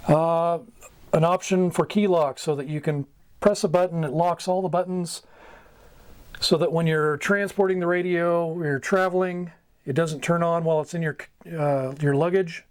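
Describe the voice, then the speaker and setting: A middle-aged American man giving an informal instructional talk, going item by item in short, halting phrases. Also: on the high side, fairly even intonation, no room sound. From United States. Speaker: amateur radio mentor, video host. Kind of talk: informal instructional explanation at a whiteboard. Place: small wood-panelled room indoors.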